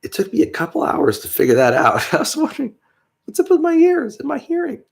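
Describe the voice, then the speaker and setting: A man's voice: extremely high pitch, huge pitch swings, a little fast, gravelly. A man vlogging in a rambling, casual way, congested with a stuffy nose, with many fillers and small chuckles.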